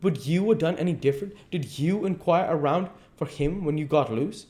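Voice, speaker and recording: A man's voice: a little high, normal speed, dark tone, voice pushed up, natural voice. A man voicing a domineering, scornful swindler who scolds in folksy rural speech, in a dramatised audiobook. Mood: scolding.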